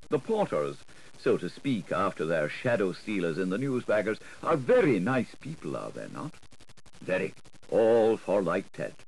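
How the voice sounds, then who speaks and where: Low-voiced man reading, very animated. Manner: theatrical, playful, shifting between questioning and answering voices. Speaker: audiobook reader. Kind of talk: dramatic literary narration. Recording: scratchy, noisy 1980s audiobook recording.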